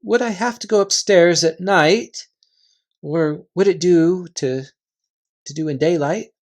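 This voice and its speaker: A gentle, earnest young girl, acted by a man reading a storybook. The voice is lifted slightly and soft-spoken, with a brave, resolute manner. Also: sits high, measured pace. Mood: hesitant resolve.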